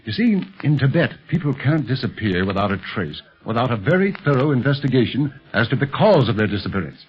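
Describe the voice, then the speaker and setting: A level-headed detective uncle in a 1930s radio adventure, mid-pitched and rough-edged. He reasons things out in a measured, reassuring manner on a muffled old recording. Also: sing-song, soft background music. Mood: serious, deliberate. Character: calm, sharp-minded secret police agent, fatherly uncle. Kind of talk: 1930s radio serial acting.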